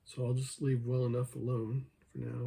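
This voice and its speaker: A man who is losing his voice, hoarse, thinking aloud over an online chess game and joking with himself as he plays. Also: mid-range voice, completely flat delivery, normal speed, heavy background noise. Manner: mutters his thoughts, talks to himself, jokes self-deprecatingly. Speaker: chess video creator.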